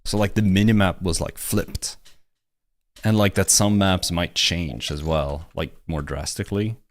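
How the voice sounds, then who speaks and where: A man's voice: sits low, measured pace, dry recording. A man chatting casually with a friend, hesitant and full of restarts. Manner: hesitant, lots of fillers and restarts. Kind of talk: casual chat.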